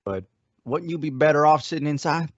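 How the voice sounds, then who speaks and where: A man's voice: on the high side, brisk, dry recording, natural voice. A man acting a loyal, worried deputy sidekick with folksy rustic speech in an old-time radio western. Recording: dull, dry lo-fi audio.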